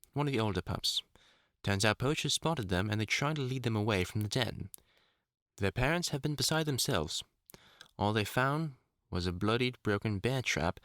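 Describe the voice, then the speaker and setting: A man playing a gruff but caring, experienced vampire hunter in an audio roleplay, middle-aged, talking informally with dry, teasing asides. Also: on the low side, fairly bright tone, dry recording. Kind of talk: intimate audio roleplay acting.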